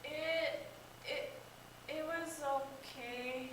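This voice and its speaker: A woman's voice: high-pitched, fairly even intonation, drawn-out words. A young American woman playing a shy, embarrassed schoolboy in amateur reader's theater, reading scripted lines carefully. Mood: embarrassed, hesitant. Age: young adult. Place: echoey indoor room. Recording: echoey room, distant microphone, background hiss.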